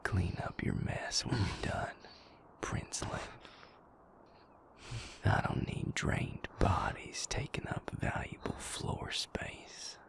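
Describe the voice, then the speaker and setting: A man's voice: low-pitched, huge pitch swings, slow pace, gravelly, a bit bright, breathy edge, exaggerated voice. A man voicing a cruel vampire who was once a king, taunting his captive in a menacing whisper in an audio roleplay drama. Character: cruel vampire, former male king, sadistic captor.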